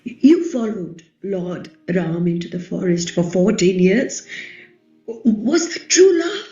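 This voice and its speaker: A woman playing a proud, defiant fire-born epic queen scarred by humiliation, delivering a play reading in a deliberate, dramatic, intense way. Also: mid-range voice, big pitch swings, warm tone, echoey room, lo-fi sound, faint music behind. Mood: brooding, probing.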